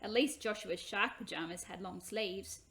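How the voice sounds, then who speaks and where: A woman's voice: mid-range voice, normal speed, a bit bright. A woman storyteller giving a dramatic reading of a children's book.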